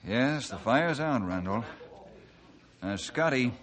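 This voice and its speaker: A man playing a steady, forgiving forest ranger with an American accent in a 1950s radio adventure, speaking in an earnest, plain way. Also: medium pitch, slow pace. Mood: earnest, kindly.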